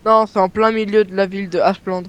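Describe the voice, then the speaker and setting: High-voiced man in game role-play. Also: flat delivery, fairly quick, a bit bright, smooth, no room sound. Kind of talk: improvised in-character online game role-play.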